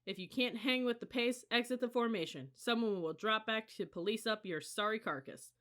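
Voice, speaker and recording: An audiobook reader voicing a cocky young male cadet drill leader, chanting running cadences and shouting orders in a sing-song voice. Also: medium pitch, moderate pace, pitched above the performer's own voice, lightly acted. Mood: brisk commanding.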